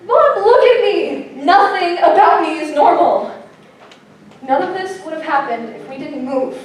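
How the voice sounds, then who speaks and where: A woman plays a sulky, wounded preteen girl with a high voice, full of self-doubt, in a staged musical reading. She is heard from far off in a very echoey room. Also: animated, measured pace. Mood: hurt, angry. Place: live staged reading in a hall. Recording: roomy stage recording from far off, faint noise.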